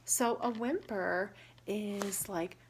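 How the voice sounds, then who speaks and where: A woman reading a picture book aloud to children in a teacherly way, pausing to ask questions and explain words simply. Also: mid-range voice, slightly rough, rough recording.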